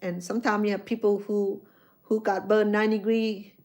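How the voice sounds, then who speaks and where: A woman's voice: medium pitch, flat delivery, fairly quick, a little mellow. A middle-aged woman with a Cambodian accent, a chef chatting casually with viewers on a live stream, restarting her sentences often and rambling from one thought to the next. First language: Khmer.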